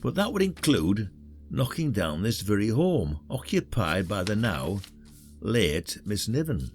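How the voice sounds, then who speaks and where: A man's voice: on the low side, big pitch swings, a little slow, soft background music. An actor playing a weary, blunt older retired detective in an audio drama, brooding and old-fashioned in his wording. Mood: dogged, wary.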